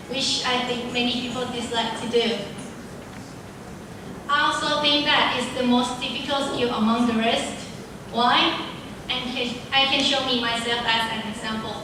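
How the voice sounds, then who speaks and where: A teenage girl with a Thai accent, her first language Thai, giving a careful, formal, recited speech at a student speaking contest. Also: sits high. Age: teens. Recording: echoey hall from far off, noisy.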